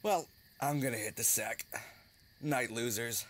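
An actor playing a loyal, easygoing young friend in an audio drama, with a steady, casual delivery. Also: male voice, medium pitch, a little slow, close to an everyday voice. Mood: teasing.

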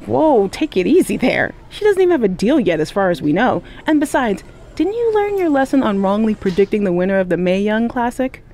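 A woman's voice: mid-range voice, big pitch swings, brisk, dark tone, a little hiss or hum. An actress voicing a skeptical, teasing female podcast co-host in a video game.

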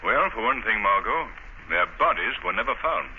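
Assured, low-voiced gentleman detective, old radio. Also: sing-song. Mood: reasoning. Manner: measured, authoritative, explanatory. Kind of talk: 1930s radio drama acting. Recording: muffled, noisy 1930s radio recording.

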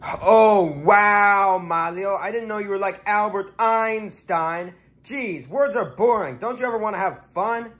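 A man's voice: high voice, measured pace, a bit bright, a little hiss or hum. A man voicing a dim, whiny adult man, a plumber's brother, who sounds naive and defensive in a comedy skit.

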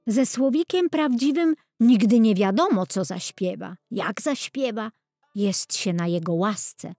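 A woman's voice: medium pitch, expressive, measured pace, warm tone, dry recording, clean studio sound, soft background music. A woman voicing a pompous, self-assured male court bandmaster who lectures emphatically in a children's storybook. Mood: pompous lecturing.

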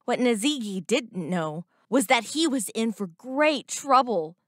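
A woman reading a scripted moral story for children in a deliberate, expressive storytelling manner. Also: fairly high pitch, no echo, studio quality. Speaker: narrator.

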